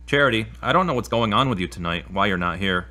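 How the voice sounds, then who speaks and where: Casting: man to play anxious, grumbling guy, deepish but sharp-toned, plainspoken, audio drama. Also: animated, fast talker. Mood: annoyed, concerned. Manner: plainspoken, fretful. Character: anxious, grumbling man waiting for a late friend.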